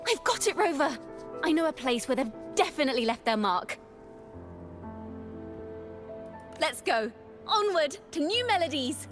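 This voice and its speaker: A woman's voice: high voice, sing-song, normal speed, fairly bright tone. A woman acting a spirited young female bard in a video game, poetic and theatrical in manner. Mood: bright, excited. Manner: theatrical, lyrical. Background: game music.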